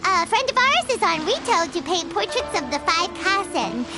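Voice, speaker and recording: A woman voicing a tiny, childlike, excitable female fairy companion in a video game, with a squeaky, exclamatory delivery. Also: moderate pace, exaggerated voice. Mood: eager, explaining. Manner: exclamatory, refers to herself by name. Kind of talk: video game character acting. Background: music.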